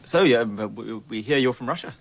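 A man's voice: mid-range voice, expressive, fairly quick, close to an everyday voice. An actor playing an awkward, placating expectant father who stammers and repeats his words, in a comedy film. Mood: polite, awkward.

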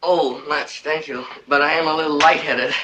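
An actor playing a timid, neurotic young accountant with prim, fussy phrasing and easily panicked delivery in a broad film comedy. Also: male voice, on the high side, fairly even intonation, normal speed, background noise, natural voice. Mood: calmer, shy. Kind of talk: broad comic film acting.

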